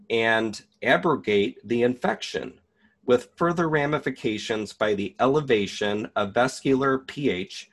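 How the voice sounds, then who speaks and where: Man, medium-pitched voice, online presenter, document read-aloud style, deliberate and emphatic delivery. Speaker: host of an online video. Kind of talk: reading a document aloud with commentary.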